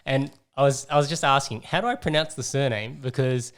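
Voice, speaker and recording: A man's voice: mid-range voice, fairly quick. A man hosting a casual podcast interview, talking in a rambling way, repeating words and digressing. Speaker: podcast host.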